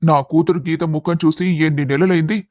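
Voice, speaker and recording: A man's voice: a little high, fairly even intonation, normal speed, darkish tone, no room sound, studio quality, close to an everyday voice. A man playing a doting, anxious middle-aged village father in a dramatised story, fretful and affectionate. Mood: impatient, eager. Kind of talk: dramatised moral story acting.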